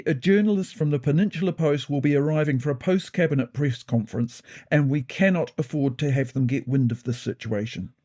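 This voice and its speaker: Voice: medium pitch, warm tone, lightly acted. A performer voicing a composed, authoritative big-brother cat giving orders in an audio storybook. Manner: measured, authoritative, issuing orders.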